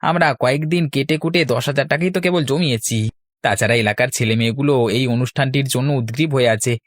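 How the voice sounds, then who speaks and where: A man voicing an excitable village fellow in a cartoon. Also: mid-range voice, fast, no echo, clean studio sound, close to an everyday voice. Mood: dejected. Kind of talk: Bengali cartoon voice acting.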